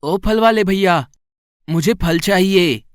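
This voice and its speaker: An actor voices a chubby little boy from a children's moral tale, sweet, assured and kindly. Words come in a drawn-out childlike way, in a dry, close recording. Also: medium pitch, smooth, dry recording, lightly acted. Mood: playful.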